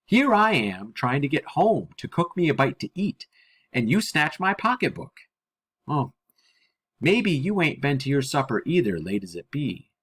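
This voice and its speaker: A man's voice: on the high side, very animated, a little slow, fairly bright tone, breathy edge, voice pushed up, heavily acted. A man voicing a stern but kindly older working woman in a storybook reading, with a folksy, blunt way of talking. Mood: scolding, firm. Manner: folksy, blunt, nonstandard grammar.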